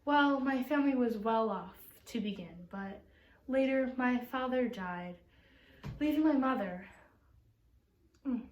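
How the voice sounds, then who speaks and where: A woman playing a devout religious sister from eighteenth-century France as an interview guest, speaking earnestly and a little haltingly in an amateur role-play. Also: medium pitch, lightly acted. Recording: roomy, distant mic with background noise.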